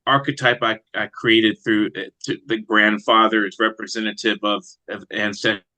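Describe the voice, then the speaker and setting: Poet guy being interviewed, lowish voice but bright and sharp, thoughtful. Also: flat delivery, moderate pace. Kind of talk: interview answers and poetry reading.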